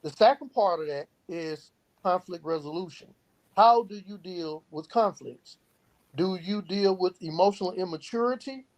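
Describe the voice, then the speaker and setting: A man with a fairly high voice talks as a podcast guest. He explains his points earnestly, often framing them as rhetorical questions. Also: a little mellow, rough recording. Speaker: founder of a youth outreach organization, podcast guest. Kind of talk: earnest explanatory interview talk.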